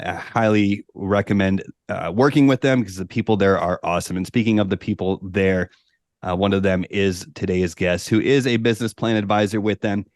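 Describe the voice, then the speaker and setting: A podcast host with a low voice and a dry, close sound, introducing his guest with upbeat, salesy enthusiasm. His delivery sounds like a practiced show opening. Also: dry recording. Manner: upbeat, promotional.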